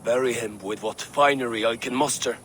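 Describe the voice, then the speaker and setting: An actor playing a mournful, solemn Viking warrior, speaking deliberately in a video game. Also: male voice, medium pitch, fairly bright tone, a little hiss or hum, natural voice. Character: mournful Viking warrior grieving his brother. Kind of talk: dramatic video game acting.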